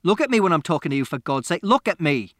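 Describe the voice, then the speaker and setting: A man voicing an angry, short-tempered middle-aged father in a storybook reading who barks his commands loudly. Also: a little high, measured pace, smooth, no echo, studio quality. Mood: furious. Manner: loud, barking commands.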